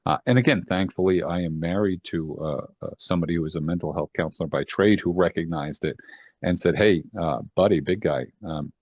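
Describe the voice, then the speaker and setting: This is a deep-voiced man with a Boston accent, recounting his career in an earnest, halting way full of "uh"s and restarts. The recording sounds dry and a little muffled, with no room around him. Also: no room sound. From New York and Boston. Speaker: retired FBI assistant director, guest. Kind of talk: reflective interview storytelling.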